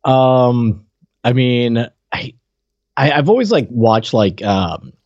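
Male co-host, animated mid-range voice, joking casual chat, dry and slightly lo-fi call recording. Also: mid-range voice, slow, no echo. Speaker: podcast co-host. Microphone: online call. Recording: dull, slightly lo-fi call audio.

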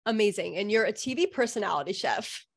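A woman podcast host with a mid-pitched voice and a very quick pace. She speaks in a conversational interviewing style. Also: animated, gravelly.

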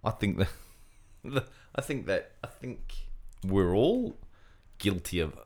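A man co-hosting a podcast, thinking out loud in casual chat with many restarts. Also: sits low, sing-song, slow. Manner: thinking aloud, frequent restarts, agreeable. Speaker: podcast co-host.

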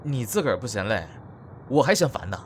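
A man's voice: mid-range voice, very animated, fairly quick, background noise, lightly acted. A man playing a proud, sarcastic, unyielding young celebrity in a Chinese audio drama. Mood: mocking. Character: sharp-tongued, proud young male entertainment star, sarcastic and unyielding.

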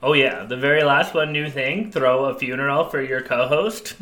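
A man's voice: medium pitch, moderate pace, pushed and bright. A man co-hosting a casual comedy podcast, talking candidly with self-deprecating jokes. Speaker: podcast co-host. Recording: echoey room.

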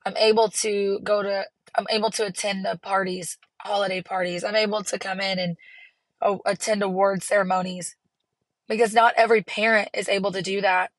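A hoarse woman, hosting her podcast from a parked car in an earnest, encouraging way. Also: mid-range voice, level tone, brisk, dry recording. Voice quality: raspy. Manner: earnest, encouraging, rambling with restarts. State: hoarse from laryngitis. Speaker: podcast host. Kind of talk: casual solo podcast talk.

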